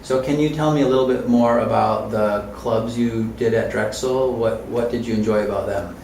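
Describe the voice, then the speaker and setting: A man interviewing a student, patient and soft-spoken with gentle murmured backchannels. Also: on the low side, steady tone, moderate pace, gravelly, slight background noise. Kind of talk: casual interview. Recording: roomy, distant mic.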